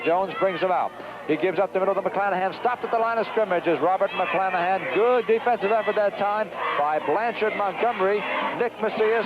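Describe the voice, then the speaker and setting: A muffled 1970s radio broadcast carries a male American sports announcer. He calls football plays live with urgent excitement in a strikingly high-pitched voice. Heavy noise and crowd roar surround him. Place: outdoor football stadium. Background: loud stadium crowd and noise.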